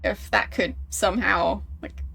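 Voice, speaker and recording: Relaxed, slow-talking woman streamer. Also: medium pitch, gravelly, breathy edge. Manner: relaxed, chuckling, teasing about her accent. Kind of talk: casual livestream chat, reading chat.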